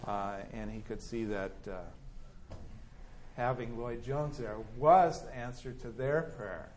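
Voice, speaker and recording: A man teaching a Bible class on a pastor's biography, reading passages aloud and commenting in a lively, conversational way with many restarts. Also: medium pitch, takes it slowly, slight background noise. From California. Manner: conversational, animated, acts out quoted voices, many restarts and fillers.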